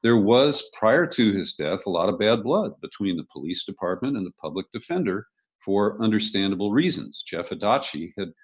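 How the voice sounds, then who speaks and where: A man from the United States giving political commentary as a panelist, in deliberate, halting phrases. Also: on the low side, lively intonation, slightly rough. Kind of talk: measured political commentary in conversation.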